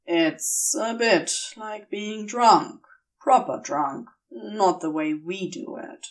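A woman voicing a patient, slightly weary male caretaker in an audio story, speaking in a firm, measured, laconic way. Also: mid-range voice, recorded from a distance, lightly acted. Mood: patient, reassuring.

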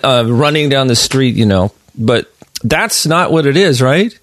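A middle-aged man with an average-pitched voice, recorded dry with little room sound. He hosts casually, feeling his way through sentences as if thinking out loud. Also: animated, unhurried, no room sound. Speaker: interviewer, host. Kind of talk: casual chat.

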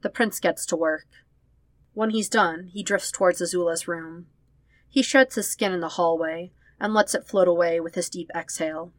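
Female podfic narrator, lower-pitched voice, very dry close sound. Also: steady tone, normal speed, dry recording. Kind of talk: scripted fan fiction narration.

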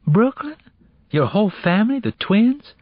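A man voicing a sharp high-pitched teenage girl. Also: very animated, slightly rough, dry recording, muffled recording, heavily acted. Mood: sharp, incredulous. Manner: slangy, challenging, quick to question. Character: sharp, street-smart teenage girl, protective and blunt.

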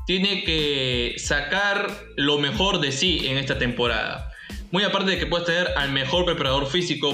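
A man from Peru hosts a football talk show, speaking in a bright, forceful, fairly high voice. He lays out firm opinions with plenty of emphasis. The sound is roomy and echoey, with faint music underneath. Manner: opinionated, emphatic, uses Peruvian sports slang. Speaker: sports show host. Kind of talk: casual sports talk commentary.

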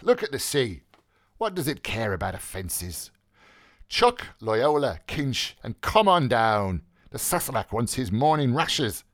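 A man's voice: medium pitch, very animated, a little slow, dry recording, clean studio sound, pitched above the performer's own voice. A man voicing a brash, mocking young medical student in an audiobook, theatrical and flippant, with exclamatory, declamatory delivery. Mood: flippant, breezy. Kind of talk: literary audiobook character voice.

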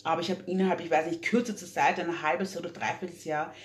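A woman's voice: on the low side, steady tone, fast. A young woman, talking earnestly as an interview guest, with many fillers and restarts. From Austria. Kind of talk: casual interview talk. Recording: echoey room, faint hum.

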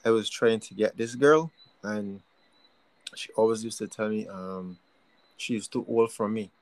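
A man from Barbados with a Barbadian accent, a guest telling stories in a relaxed, shy, soft-spoken way. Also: fairly low pitch, measured pace, rough recording. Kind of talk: casual podcast chat.

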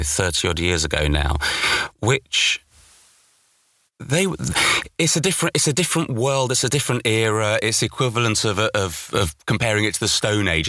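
A man's voice: on the low side, bright, forceful tone, no room sound, clean studio sound. A middle-aged British man giving conversational, emphatic interview answers and repeating words to make his point. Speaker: interview guest, audio journalist and voice-over artist.

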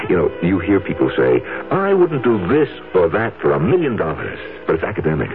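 A brooding husband in a vintage radio play. His voice is low and swings widely as he frets and grumbles. The broadcast is dull, with music behind him. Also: huge pitch swings, normal speed, full character voice. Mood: desperate, bitter. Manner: agitated, sullen. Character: brooding, resentful husband waiting on an inheritance. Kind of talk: 1970s radio drama acting.

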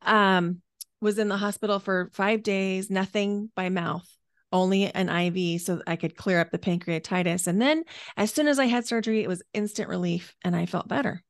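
A woman of about fifty talks as a guest on a podcast, in a mid-pitched voice. She tells personal stories in a conversational way, leaning on key words for emphasis. The sound is dry, with hardly any room in it. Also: fairly even intonation, normal speed, no echo. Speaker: podcast guest, energy healer and coach.

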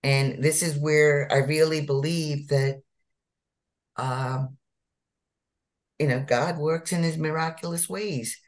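A woman's voice: sits low, steady tone, takes it slowly, pauses often. A woman from India, a physician in her fifties or sixties, telling her life story in an interview with earnest emphasis, repeating key words. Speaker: physician guest.

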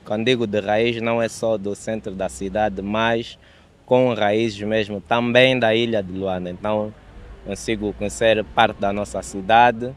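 Low-voiced proud man from Angola. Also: steady tone, a little slow. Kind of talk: interview answer.

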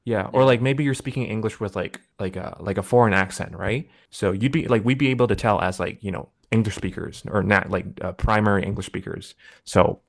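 Chatty man with a lowish voice. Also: fairly quick, vocal fry, hardly any pauses, no echo, clean studio sound. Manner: talkative, lots of "like" and "right?". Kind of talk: casual chat.

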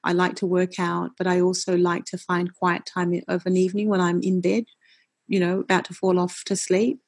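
Husky, passionate middle-aged woman. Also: lowish voice, little pitch movement. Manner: fast, restarts often, forceful and passionate. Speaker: nutritionist and wellness coach, guest. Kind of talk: casual interview chat.

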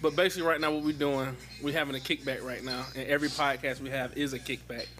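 A man hosting a casual podcast among friends, talking loud, joking and swearing in slang. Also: medium pitch, fairly even intonation, quick pace. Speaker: podcast host.

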